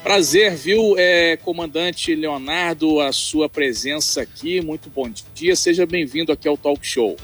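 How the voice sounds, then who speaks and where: A male host welcomes a guest on a talk show, speaking at a somewhat high pitch. He talks in a chatty, hesitant way, often pausing with "é". Faint music plays in the background. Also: a bit bright. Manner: chatty, hesitant, with many "é" fillers. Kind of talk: radio talk show interviewing.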